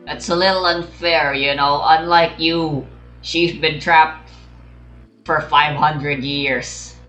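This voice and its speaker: A woman's voice: sits low, steady tone, takes it slowly, a bit bright. A woman game streamer giving casual commentary with dry, understated reactions. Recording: roomy echo, clear game music behind. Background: video game music.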